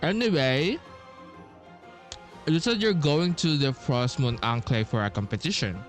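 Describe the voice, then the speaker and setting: Slow, musing man commenting on a game. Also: on the high side, animated. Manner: musing, halting, slangy. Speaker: gamer playing and reacting. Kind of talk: casual playthrough commentary. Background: game music.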